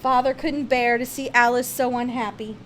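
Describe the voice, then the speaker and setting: A woman reads a picture book aloud in a fairly high voice. Her phrasing is expressive and emphatic, suited to children's narration. Faint noise sits behind her in the recording. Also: normal speed.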